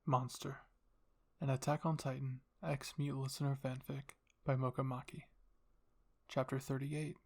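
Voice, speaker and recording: Guy doing asmr story narration, husky voice w lots of fry. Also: mid-range voice, little pitch movement, a little slow, darkish tone. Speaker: narrator and channel host. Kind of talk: soft ASMR story narration, reading fan fiction.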